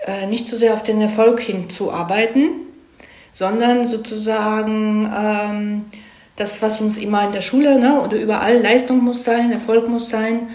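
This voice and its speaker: A woman's voice: medium pitch, little pitch movement, moderate pace, darkish tone. Woman explaining stuff in an interview, casual, lots of little "right?" tags, echoey room. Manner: conversational, frequent "ne?" tags seeking agreement. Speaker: screenwriter being interviewed.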